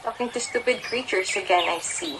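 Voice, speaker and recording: A woman's voice: medium pitch, fairly quick, natural voice. A woman acting a snooty young neighbour with a condescending, mocking manner, in a student radio drama. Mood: scornful. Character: snooty, dismissive party-going young female neighbour. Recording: noisy track with birdsong behind.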